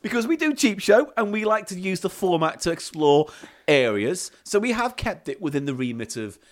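A man's voice: high voice, big pitch swings, normal speed. A middle-aged man, a little tipsy, co-hosting a bawdy podcast chat in a giggly, crude, teasing way. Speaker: podcast co-host.

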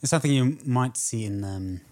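A man hosting a film podcast, discussing movies casually in a measured, slightly tentative way. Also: medium pitch, darkish tone.

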